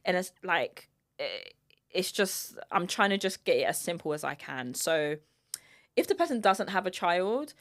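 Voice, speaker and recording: Candid London woman, interview guest, slightly low voice, relaxed and unhurried. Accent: London English. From East London. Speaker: guest, filmmaker and single mother. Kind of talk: casual conversational interview.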